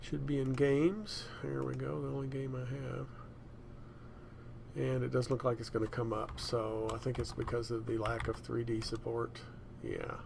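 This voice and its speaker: A man's voice: mid-range voice, vocal fry. A man giving a casual screencast demonstration, thinking aloud in a rambling way as he works. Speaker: software tutorial presenter. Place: indoors at a computer. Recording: noisy room with keyboard typing. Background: room noise and keyboard typing.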